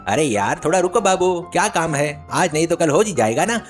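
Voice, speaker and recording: A man's voice: on the high side, big pitch swings, moderate pace, faint music behind. A man voicing a boastful, idle village chatterbox who pushes his tall tales insistently in a children's story. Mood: boastful, eager. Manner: bragging, insistent, wheedling.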